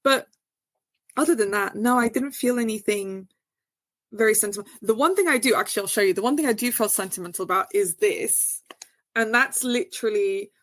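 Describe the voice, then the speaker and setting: A woman's voice: brisk, dry recording. A woman from Portugal talking as a guest novelist in a casual interview, chatty and hesitant with many fillers and restarts. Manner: rises high and animated when answering.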